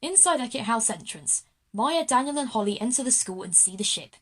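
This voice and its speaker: A woman's voice: fairly high pitch, sing-song, brisk, breathy edge. A woman reading scripted narration as the narrator of a kids' audio drama.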